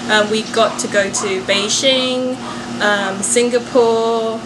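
A young woman talks in a fairly high voice, casually answering questions for her viewers. She is chatty and pokes fun at herself. There is clear noise behind her voice. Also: steady tone, slow. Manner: chatty, self-joking. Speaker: vlogger and singer.

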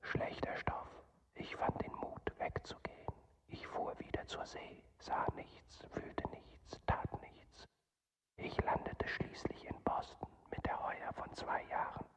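An actor playing a weary, death-seeking man in a radio drama, confessing in a resigned, breathy whisper. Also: slow, natural voice, poor audio. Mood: bitter, haunted.

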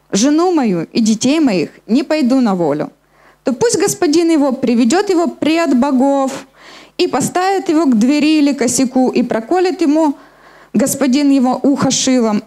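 A woman's voice: high voice, expressive, moderate pace, dark tone. A middle-aged woman preaching a sermon in an explanatory way, addressing her listeners, speaking into a handheld microphone on a church stage. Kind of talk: sermon with scripture reading. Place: church hall stage at a lectern, indoors.

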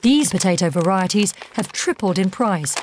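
A woman's voice: medium pitch, lively intonation, normal speed, slight background noise. A woman reading scripted documentary narration as the narrator of the film. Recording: music under the narration. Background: background music.

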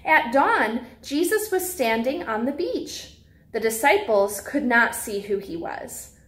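Animated, high-voiced young American woman. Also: slow. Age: young adult. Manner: animated, simple and clearly phrased for children. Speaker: preschool teacher. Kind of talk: read-aloud and talk for young children. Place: small classroom, indoors.

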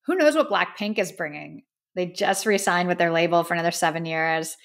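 A woman's voice: on the low side, brisk. A woman in her thirties from the United States, hosting a solo podcast and giving her opinions in an earnest, emphatic, conversational way. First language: English. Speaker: podcast host. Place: indoor studio with a plain backdrop.

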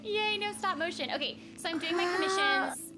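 An excitable, giggly young woman chatting casually on a live game stream, often repeating her phrases, close on a desk microphone. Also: very high voice, fairly quick. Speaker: streamer and voice actress. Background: game music.

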